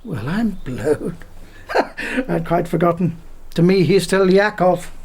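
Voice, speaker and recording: Casting: chatty, evasive man under questioning, voice sits high. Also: animated, takes it slowly, a little mellow, slightly rough, exaggerated voice. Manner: chatty, evasive, British turns of phrase. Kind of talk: radio drama acting.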